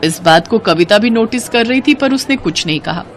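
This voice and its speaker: A woman's voice: on the high side, expressive, normal speed, slight background noise. A woman reading scripted narration for a cartoon moral story as the storyteller.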